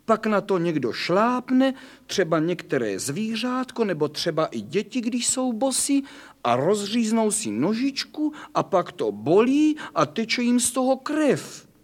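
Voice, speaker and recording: A man doing a gentle, cooing female cat. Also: high-pitched, very animated, pitched above the performer's own voice. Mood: tender, cooing. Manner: tender, cooing with endless pet names.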